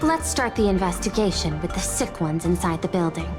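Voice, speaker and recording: A woman's voice: fairly low pitch, expressive, brisk, close to an everyday voice. A woman voicing a cool, precise female corporate official in a game, speaking in a clipped, exact way. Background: game music.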